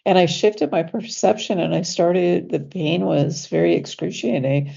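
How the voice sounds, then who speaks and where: A woman coach talking casually as an interview guest, sounding stuffy from allergies, with laughs and fillers as she slows down to stress words. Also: lowish voice, fairly even intonation, creaky, warm tone, husky, lo-fi sound.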